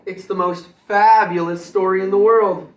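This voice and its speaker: Man voicing an eager storybook mermaid, lifted pitch. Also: steady tone, moderate pace, reverberant, natural voice, poor audio. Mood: excited. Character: eager, friendly female mermaid who bakes.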